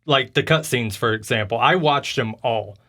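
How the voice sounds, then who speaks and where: A man's voice: medium pitch, normal speed, bright, forceful tone. A man talking casually and with strong opinions as a podcast host discussing video games, telling stories informally.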